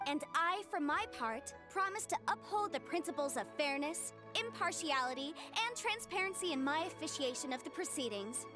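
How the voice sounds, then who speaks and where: A showy female host of a cooking contest in a video game. The delivery is theatrical and high, swinging widely in pitch, over faint music. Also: lively intonation, normal speed, few pauses. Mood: showy, official. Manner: announcer-like, theatrical. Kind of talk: video game voice acting, live event commentary.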